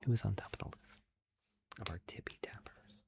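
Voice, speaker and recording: A livestream host chatting in a soft, giggly ASMR whisper, thanking viewers. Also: a little fast.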